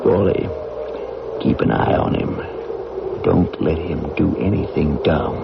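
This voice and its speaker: A man playing a stern, gruff launch boss in a radio drama, giving orders with authority on an old recording. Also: low voice, slow, exaggerated voice. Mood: warning. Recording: muffled old radio, loud noise behind.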